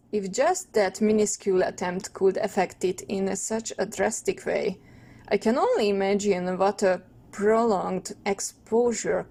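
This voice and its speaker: A woman's voice: medium pitch, pitched below the performer's own voice. A woman voicing a proud, wordy teenage turtle boy inventor in a cartoon comic dub, with a pompous, theatrical manner. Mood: smug, scheming.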